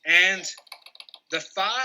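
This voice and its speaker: A man's voice: sits high, very slow, very noisy. A man hosting a quiz and reading out results, hesitant and often correcting himself. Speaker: quiz host. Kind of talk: announcing quiz results on a livestream.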